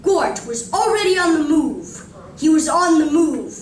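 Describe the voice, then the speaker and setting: A woman reading scripted narration for a live radio drama in a deliberate, theatrical way. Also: high voice, animated. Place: library community room, live performance. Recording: roomy distant recording, faint noise.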